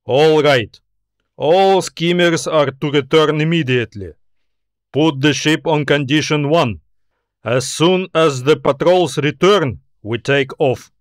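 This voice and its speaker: Man voicing a fearsome, commanding space admiral, raised pitch. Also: takes it slowly, no room sound, studio quality. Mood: thoughtful, commanding. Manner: commanding, snide, barking questions. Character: fearsome, irritable, domineering military admiral in science fiction.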